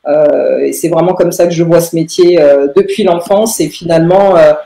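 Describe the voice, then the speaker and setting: A young woman, answering in a podcast interview with halting phrasing and very frequent filled pauses. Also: deep voice, creaky, warm tone. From Paris, France. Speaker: lawyer, interview guest. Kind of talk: podcast interview answers about own career. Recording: roomy, echoing room sound.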